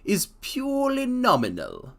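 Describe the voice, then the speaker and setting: A man voicing a formal older employer who speaks in a slow, measured, stately way. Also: extremely high pitch, wildly swooping pitch, vocal fry, fairly bright tone, voice pushed up, exaggerated voice. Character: formal older male employer, pompous and deliberate.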